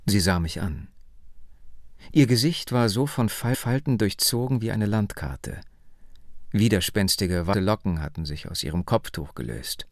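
A man narrating a first-person story as an audiobook, in a measured, expressive literary reading. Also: fairly low pitch, no room sound, clean studio sound.

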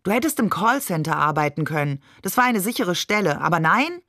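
A woman voicing a sharp-tongued, exasperated working mother in a story, pointed and reproachful. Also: lowish voice, big pitch swings, a little fast, no echo, studio quality.

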